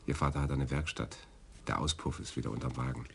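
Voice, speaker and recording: An actor playing a carefree young police sergeant in a German radio crime drama, breezy and teasing. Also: male voice, extremely low pitch, steady tone, fast, creaky, background noise. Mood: cheerful.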